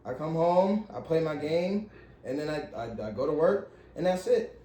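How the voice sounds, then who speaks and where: Higher-voiced man in an echoey room. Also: very animated, normal speed, warm tone. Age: 30s. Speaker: podcast co-host. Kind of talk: casual chat.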